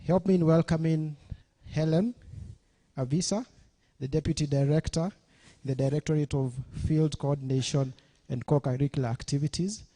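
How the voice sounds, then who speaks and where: Mellow Kenyan man hosting an event. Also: on the high side, steady tone, normal speed. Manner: chatty, engaging the audience. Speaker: master of ceremonies. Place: launch event venue.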